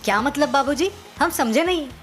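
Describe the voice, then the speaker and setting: A woman's voice: high voice, expressive, moderate pace, fairly bright tone. A woman voicing a tired, whining young boy in a cartoon moral story. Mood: puzzled.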